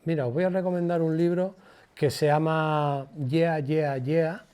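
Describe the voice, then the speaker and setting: Older Spanish man, mellow informal lecturer. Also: fairly high pitch, fairly even intonation, clean tone. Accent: Spanish from Spain. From Spain. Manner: colloquial, digressive, halting with repeated words. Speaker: guest lecturer, former radio presenter. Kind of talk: informal public lecture with personal anecdotes.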